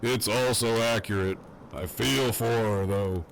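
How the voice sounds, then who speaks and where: A man's voice: lowish voice, measured pace, gravelly, noisy background. A man playing a cocky, crude prisoner who teases in wry, swaggering banter in an audio drama. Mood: wry, casual. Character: cocky, crude, teasing imprisoned man on a walkie-talkie. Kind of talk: fan audio drama acting.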